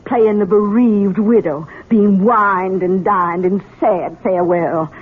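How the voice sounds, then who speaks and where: An embittered old mother with a husky, worn voice, sardonic and deliberate in her delivery. It is 1970s radio drama, heard through a muffled, narrow-band recording. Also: medium pitch, fairly even intonation. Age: older. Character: bitter old mother once declared dead.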